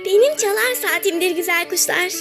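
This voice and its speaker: A woman voicing a cheerful, caring little ladybug in a children's cartoon tale, gently encouraging. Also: very high voice, fairly quick. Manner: encouraging, gently questioning, sings at times. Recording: music behind the voice.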